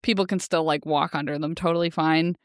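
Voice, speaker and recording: A woman's voice: low-pitched, steady tone, fairly quick, dry recording, studio quality. A woman co-hosting a podcast, chatting casually about a book in a jokey, questioning way. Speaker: podcast co-host. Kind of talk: casual book discussion chat.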